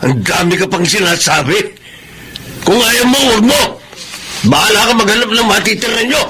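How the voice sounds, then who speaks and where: An actor in a Filipino radio drama playing a stubborn, self-justifying dockworker husband with an insistent, dramatic delivery. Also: male voice, high voice, animated, normal speed. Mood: irritated.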